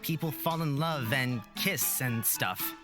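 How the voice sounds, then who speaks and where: Girl doing a shy innocent young guy, low voice, unsure of himself, visual novel, music under it. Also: slow pace. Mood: puzzled. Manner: hesitant, earnest, unsure. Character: naive, hesitant, innocent young man. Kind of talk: visual novel character reading.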